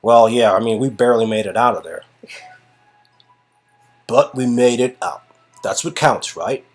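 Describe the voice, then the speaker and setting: A man playing a sarcastic, grumbling ex-agent who talks in a dry, halting, improvised way. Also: mid-range voice, faint music behind. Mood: weary.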